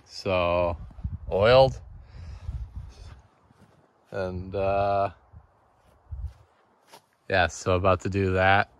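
A man, a chainsaw carver, casually talking through his work in a relaxed, matter-of-fact way outdoors by his workshop. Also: low voice, extremely slow, pauses often, clear, resonant tone. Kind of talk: casual workshop vlog narration.

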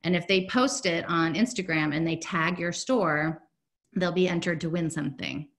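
A woman's voice: fairly low pitch, normal speed, fairly bright tone. Chatty woman on a video call. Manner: chatty, filler-heavy, upbeat. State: hoarse, losing her voice. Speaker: program director presenting a webinar. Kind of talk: casual webinar presentation. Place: home room, indoors. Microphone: video call, laptop mic.

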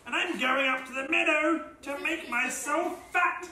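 A woman's voice: sits high, a little slow, crowd noise. A woman voicing a polite, pleading middle-sized billy goat in a storybook. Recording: roomy echo, distant mic, faint noise.

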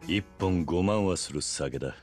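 An actor playing a stoic middle-aged yakuza veteran in a video game, terse, measured and calmly commanding. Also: male voice, deep voice, faint music behind. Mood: deadpan, stern. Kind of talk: Japanese video game voice acting.

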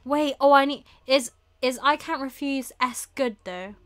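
A young woman hosting a casual live stream, thinking aloud in an unhurried way with murmured asides. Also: high voice, a bit bright, faint music behind. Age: young adult. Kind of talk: casual chat while shopping online. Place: small indoor room with bookshelves.

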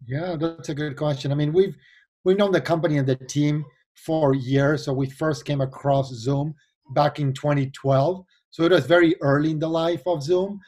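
A man's voice: a little high, fairly even intonation, normal speed, darkish tone. A man in his forties from Argentina with an Argentine accent, explaining earnestly as an investor guest over a video call. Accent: Argentine Spanish. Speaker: venture capital investor guest. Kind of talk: remote video interview.

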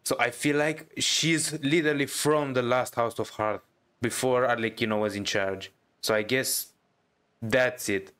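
A man giving casual gameplay reaction commentary as a streamer, musing aloud and haltingly. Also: mid-range voice, fairly bright tone, clean tone. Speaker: game streamer.

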